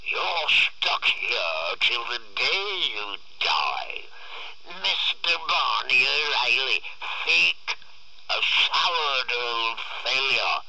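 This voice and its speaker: A man voicing a drunken, belligerent father who taunts in a drawn-out roar, in a storytelling reading. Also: medium pitch, very animated, pushed and bright, no echo, exaggerated voice, poor audio. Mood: roaring, taunting. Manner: roaring, taunting, drawn-out delivery.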